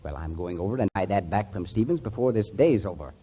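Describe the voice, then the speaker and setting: An actor playing a flustered, covetous, muttering secondhand dealer in a 1940s radio comedy. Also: male voice, lowish voice, lively intonation, fast, loud music behind the voice, close to an everyday voice. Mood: flustered, calculating. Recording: muffled, noisy 1940s radio recording.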